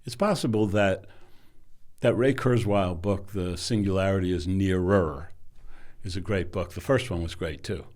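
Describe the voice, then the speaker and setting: A man who runs a company, a podcast guest who talks in a measured, thoughtful way, often trailing off softly. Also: deep voice. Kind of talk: casual interview conversation.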